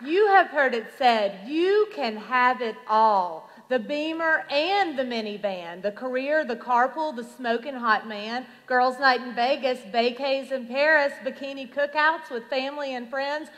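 A woman reciting rhythmic slam poetry as a preacher-poet, with emphatic, dramatic delivery and drawn-out phrases. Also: sits high, fairly bright tone, a faint crowd. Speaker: reverend performing slam poetry. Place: large hall at a youth conference. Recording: reverberant large hall.

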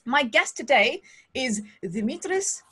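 A woman's voice: sits high, very animated, slow pace, fairly bright tone, slightly rough. A woman in her thirties hosting a livestream interview over a video call, bubbly and playful with frequent jokes. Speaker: podcast host. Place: home studio, indoors.

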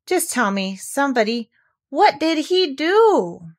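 Lady doing a sweet anxious storybook toy bear, high singsong voice, up and down. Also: sing-song, slow, heavily acted. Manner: singsong, earnest. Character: simple, anxious, hopeful male toy bear.

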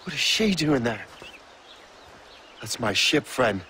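An actor playing a blunt, determined young sailor hero in a video game. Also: male voice, medium pitch, very animated, slow pace, sharp tone, slight background noise, exaggerated voice. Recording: game audio with music behind.

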